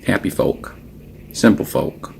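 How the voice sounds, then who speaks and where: A man whose native language is Creole French, speaking in a reflective, unscripted personal monologue, halting and searching for words. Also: a little hiss or hum. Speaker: personal documentary narrator.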